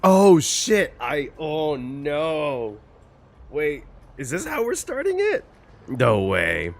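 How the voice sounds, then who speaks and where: A man doing casual, expressive game commentary as a reaction streamer, swearing casually and exclaiming in dismay. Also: fairly high pitch, slow pace, vocal fry. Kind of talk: casual game commentary, reading story text aloud. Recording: game music behind the voice.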